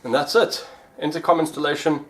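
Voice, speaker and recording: A man vlogging in a casual, informal way, pausing as he finds his words. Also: a little high, fairly even intonation, heavy background noise. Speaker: vlogger and amateur pilot. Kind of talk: casual vlog talk.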